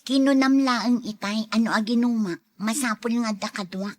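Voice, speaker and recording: A woman's voice: a little high, normal speed, close to an everyday voice. A woman acting a troubled young daughter in love in a radio drama. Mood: earnest.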